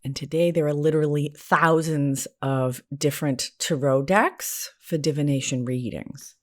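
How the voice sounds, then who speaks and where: A woman's voice: low voice, measured pace, a little mellow. An American woman hosting a solo podcast in a conversational, candid and self-deprecating way, with informal wording. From New England, United States. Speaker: podcast host, therapist and spiritual teacher.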